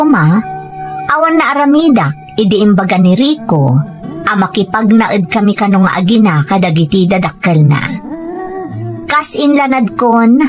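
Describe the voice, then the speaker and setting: Actress as Ilocano family woman, radio drama delivery, medium pitch, music underneath. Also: close to an everyday voice. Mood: reflective. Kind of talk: radio drama acting and narration.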